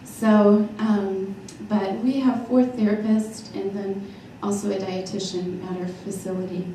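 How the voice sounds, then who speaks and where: Soft-spoken woman in an echoey hall. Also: mid-range voice, level tone, unhurried, a little hiss or hum. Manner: earnest, with small laughs. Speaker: panelist, eating disorder therapist. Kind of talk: panel talk to an audience. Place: large hall at a college panel table. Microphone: handheld mic, heard from afar. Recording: echoey hall, distant mic.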